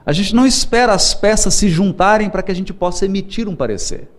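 A man giving a religious lecture, humorous and animated, repeating key words for emphasis. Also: sits high, fast. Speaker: Spiritist lecturer.

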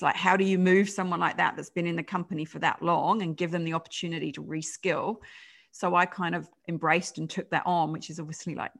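A woman's voice: sits low, vocal fry, fairly bright tone. A middle-aged woman with a British accent, talking conversationally as a podcast guest, with frequent fillers and self-corrections. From United Kingdom. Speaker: guest, change management consultant. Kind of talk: podcast interview.

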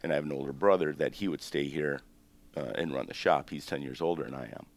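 A man in his fifties with an extremely low, rumbling voice, born in Greece and raised in the States. He tells his family story in a loose, meandering way, full of ums and restarts, as a guest being interviewed. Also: fast, creaky. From born in Greece, raised in the United States. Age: 50s. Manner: relaxed, rambling, with frequent ums and self-corrections.

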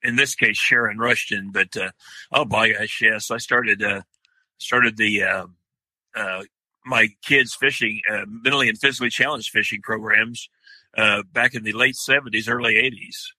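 Older guy, deep loud voice, kinda raspy, bright edge, lots of uhs. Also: fairly even intonation, no room sound, low-quality recording. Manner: slow, halting, many fillers and restarts. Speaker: guest, outdoor writer. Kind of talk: podcast interview.

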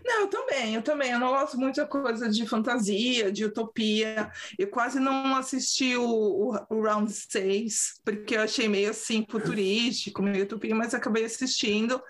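A woman's voice: fairly high pitch, normal speed, few pauses. Chatty São Paulo-accented woman, podcast host. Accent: Brazilian Portuguese, São Paulo. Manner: chatty, giggly, informal. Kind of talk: casual podcast chat.

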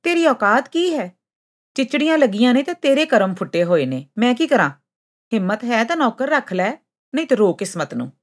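A woman's voice: a little high, sing-song, moderate pace, pitched above the performer's own voice. A woman voicing a haughty, hot-tempered young female ginger-root character in a folk tale, scolding and boasting indignantly.

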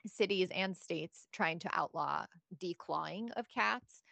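A woman's voice: on the low side, takes it slowly, gravelly, a bit bright, no room sound. A woman from Bosnia chatting casually as a podcast guest, joking and self-deprecating. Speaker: guest, pet product founder.

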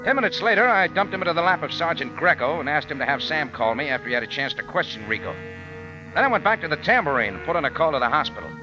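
An actor playing a tough, wry bar owner hero in an old radio crime drama, narrating and talking in terse, slangy phrases. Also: male voice, a little high, very animated, fast. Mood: brisk narrating. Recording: dull vintage radio recording, music behind.

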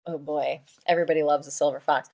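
A woman co-hosting a podcast in casual chat, an animated storyteller who laughs often and acts out what people said. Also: deep voice, fast talker, creaky. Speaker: podcast co-host.

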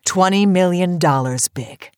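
A woman playing an imperious, secretive company boss in a campy audio drama, husky and grandly commanding. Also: on the low side, a little slow, no room sound, clean studio sound, lightly acted. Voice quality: husky, breathy.

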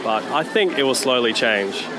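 A man's voice: medium pitch, expressive, normal speed, noisy background. A man from Australia, talking casually and bluntly as an aspiring café owner. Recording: loud crowd around.

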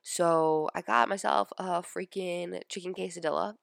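Young woman, twenties, podcast host, stuffed-up nose, gravelly fry, casual rambling delivery. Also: on the low side, fairly even intonation, measured pace, no echo, clean studio sound. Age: 20s. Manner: chatty, lots of "like" and "um". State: stuffy nose from a sinus infection. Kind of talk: casual personal podcast chat.

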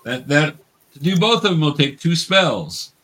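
A man's voice: on the high side, sing-song, a little slow, noisy background. A man acting as game master, casually and patiently explaining the rules of a tabletop game step by step.

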